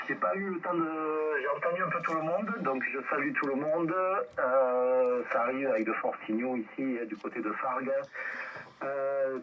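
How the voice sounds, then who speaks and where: Male radio amateur, mid pitch, casual halting delivery, dull signal with faint hiss. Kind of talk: casual ham radio check-in. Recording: muffled, distant, faintly noisy radio transmission.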